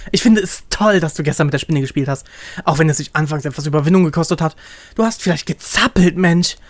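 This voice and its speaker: A man's voice: fairly high pitch, fast, dry recording, pitched above the performer's own voice, lightly acted. A man voicing a carefree, silly young male vampire in a story, chatty, exclamatory and a little whiny. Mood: relieved, chatty.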